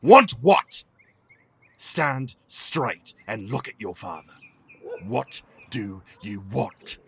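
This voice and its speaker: A man's voice: medium pitch, very animated, very slow, gravelly, husky, full character voice. A man playing an angry, domineering father in an audio drama, confrontational and demanding. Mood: furious, bullying.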